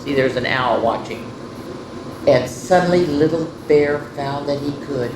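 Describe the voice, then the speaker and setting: Female children's story host: slightly low, unhurried, expressive, chatty read-aloud, roomy recording with faint noise. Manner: expressive, addressing young children directly. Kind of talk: picture-book read-aloud with casual asides.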